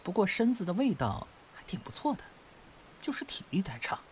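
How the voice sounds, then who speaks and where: A man's voice: high voice, huge pitch swings, brisk, whispered. A man playing a crude, menacing, mocking gangster kidnapper in an audio drama, taunting and foul-mouthed. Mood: mocking menace.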